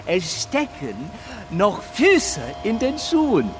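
A man's voice: very high-pitched, wildly swooping pitch, slow pace, music in the background, heavily acted. A man in a radio drama playing an eccentric, tipsy English aristocrat, theatrical and teasing. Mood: teasing, sly. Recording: faint street and vehicle noise.

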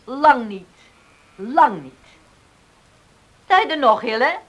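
A woman's voice: high voice, animated, slow pace, exaggerated voice, low-quality recording. An actress playing a strong-willed, outspoken farm widow and mother in a radio drama, teasing and defiant.

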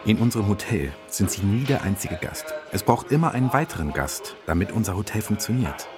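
A man's voice: on the low side, lively intonation, brisk. A man speaking as a hotel receptionist, making announcements and taking booking calls. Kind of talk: promotional announcement and phone booking talk. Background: guitar music.

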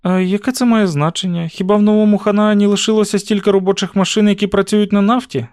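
Man putting on a high-voiced spirited country girl. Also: fairly even intonation, fast talker, a little mellow, smooth, no echo, studio quality, lightly acted. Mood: eager, inquisitive. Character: spirited, curious young country girl, guarded and tart.

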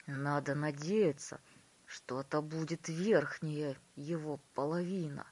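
A woman voicing a gloomy, sighing middle-aged father in a children's story, with a drawn-out grumbling delivery. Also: mid-range voice, very animated, darkish tone, full character voice.

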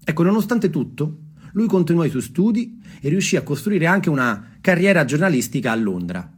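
A man telling stories and reading texts aloud as a theatre performer, expressive and deliberate in his delivery. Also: a little high, breathy edge. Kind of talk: storytelling with dramatic readings. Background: music.

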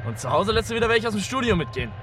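Radio drama: chummy young hustler-manager, quick delivery, high voice, glib slang, in-car sound. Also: male voice, big pitch swings, distant mic, background noise. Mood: chummy, persuasive. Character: quick-witted young wheeler-dealer, self-styled manager, chummy. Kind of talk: naturalistic radio drama dialogue. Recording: inside a car, off-mic, music behind.

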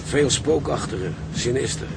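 An actor playing a gloomy, fretful ship's engineer with a grave, emphatic way of talking in a radio drama. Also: male voice, medium pitch, normal speed, gravelly, natural voice. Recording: ship and wind noise behind.

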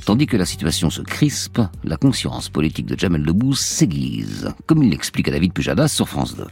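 Very deep-voiced male documentary narrator. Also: huge pitch swings, creaky, background noise. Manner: measured, storytelling delivery. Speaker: radio documentary host. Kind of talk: scripted radio documentary narration.

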